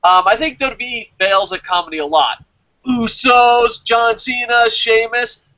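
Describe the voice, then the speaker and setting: A man's voice: very high-pitched, measured pace, sharp tone, poor audio. A man chatting casually as a review show host, opinionated and emphatic, swearing freely and rambling as he goes.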